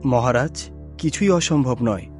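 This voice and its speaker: A man's voice: mid-range voice, unhurried, close to an everyday voice. A man voicing a brave, helpful young guard in a cartoon fairy tale. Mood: confident. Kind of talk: cartoon story voice acting. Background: music.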